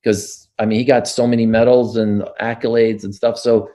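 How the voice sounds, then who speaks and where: A man's voice: fairly low pitch, level tone, moderate pace, warm tone. An older man, recounting his childhood in an oral history interview, with frequent fillers and restarts. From United States.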